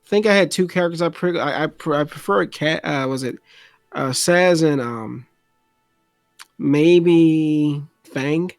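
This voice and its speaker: Hesitant young man with a higher voice. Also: measured pace, no room sound, faint music behind. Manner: hesitant, joking. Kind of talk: casual gaming podcast chat.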